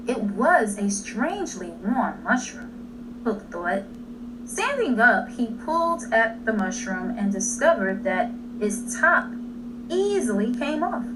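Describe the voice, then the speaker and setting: A woman storyteller narrating a children's story expressively, with dramatic, deliberate pauses. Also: mid-range voice. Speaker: read-aloud storyteller. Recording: distant mic, clearly noisy.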